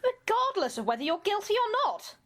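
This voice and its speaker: A woman plays a conciliatory, reasonable peacemaker in a radio play. Her voice is extremely high and lively, earnest and a touch exasperated. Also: brisk, exaggerated voice. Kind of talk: amateur radio play acting.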